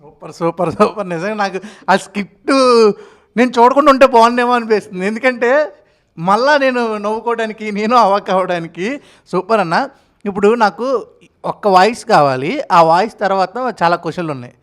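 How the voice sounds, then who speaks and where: A woman's voice: mid-range voice, fairly quick. A woman interviewing in a chatty, effusive and encouraging way.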